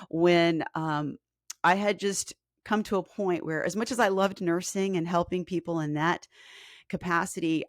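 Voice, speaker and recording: A woman in her forties, a fitness coach, talking casually on a live stream with a hoarse, scratchy voice and lots of ums. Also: fairly low pitch, no echo, clean studio sound. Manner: chatty and earnest, with filler ums and restarts. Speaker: fitness coach and podcast host.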